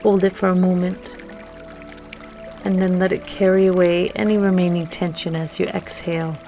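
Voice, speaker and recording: A woman leading a scripted guided meditation with affirmations in a gentle, soothing manner, leaving long pauses between phrases. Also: fairly low pitch, flat delivery. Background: music and trickling water.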